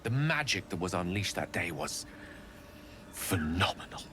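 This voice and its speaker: An actor playing a learned, earnest wizard in a fantasy video game, speaking in florid, measured, dramatic phrases. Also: male voice, sits low, big pitch swings, noisy background. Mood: grave, awed. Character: learned male wizard, eloquent, theatrical, earnestly remorseful.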